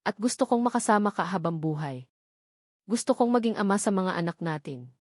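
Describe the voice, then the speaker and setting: A woman's voice: medium pitch, animated, fairly quick, a little mellow, no room sound, clean studio sound, close to an everyday voice. Woman reading as earnest Filipino man in love. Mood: tender, earnest. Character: wounded, devoted young man in love, reassuring and earnest.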